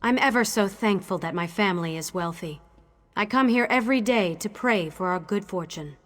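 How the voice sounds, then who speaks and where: A woman's voice: medium pitch, moderate pace, fairly bright tone, close to an everyday voice. An actress playing a wealthy, pious, self-satisfied townswoman in a fantasy video game. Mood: smug piety.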